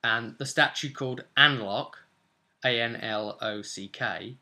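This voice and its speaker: A man's voice: mid-range voice, a little slow, pushed and bright. A young man giving a casual, unscripted vlog recap as a tabletop game master, explaining in a loose, rambling way. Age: young adult. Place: small furnished room at home, indoors.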